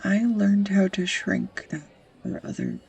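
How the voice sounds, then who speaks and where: Girl acting a shy flustered sweetheart in romance audio, young woman, husky n breathy, slow hesitant confessing. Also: mid-range voice, huge pitch swings, a little mellow, heavily acted. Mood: vulnerable, fond. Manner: hesitant, confessional, breaking into whispers. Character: flustered, tender woman reuniting with high school sweetheart. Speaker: audio roleplay voice actress. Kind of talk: intimate romantic audio roleplay monologue.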